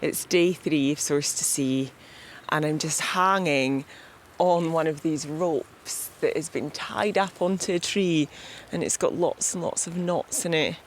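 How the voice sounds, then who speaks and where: A woman's voice: deep voice, a little slow, slight background noise. Chatty Scottish woman out on a river. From Scotland. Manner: friendly, chatty. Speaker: presenter, endurance cyclist. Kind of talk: casual outdoor chat. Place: outdoors on a river.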